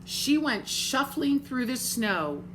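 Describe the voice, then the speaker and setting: A woman's voice: high-pitched, big pitch swings, takes it slowly, fairly bright tone, slight background noise. A woman reading a picture book aloud expressively, with dramatic phrasing and little asides to young listeners. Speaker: storybook reader.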